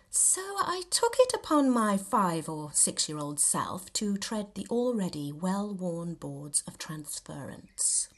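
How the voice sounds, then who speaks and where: A woman narrates literary fiction as an audiobook reader. Her voice sits a little low and moves very freely in pitch. The delivery is theatrical and measured, with dramatic emphasis. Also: fairly bright tone. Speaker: reader of a novel extract. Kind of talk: expressive scripted audiobook narration.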